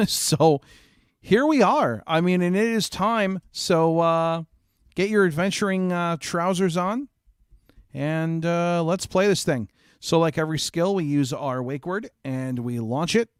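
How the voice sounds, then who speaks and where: Casting: male game-stream host, slightly high voice, informal and jokey chatter, close dry microphone. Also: animated, slow pace, clean tone, no room sound. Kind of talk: casual game stream chat.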